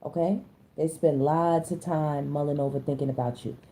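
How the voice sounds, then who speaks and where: A hoarse woman giving a casual tarot reading, chatting to her viewers and often stopping to clear her throat. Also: sits low, steady tone, moderate pace, dark tone. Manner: chatty, addresses listeners as y'all, stops to clear her throat. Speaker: tarot reader.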